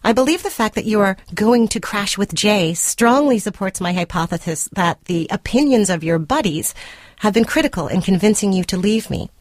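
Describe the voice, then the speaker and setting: A woman's voice: mid-range voice, expressive, moderate pace, dry recording, close to an everyday voice. Woman acting a prim, controlling spurned girlfriend, dry close sound. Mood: indignant, accusatory. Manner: prim, clinical, precisely worded, condescending. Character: controlling, self-righteous spurned girlfriend dictating a formal letter. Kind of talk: dramatic short-story reading for radio. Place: radio studio.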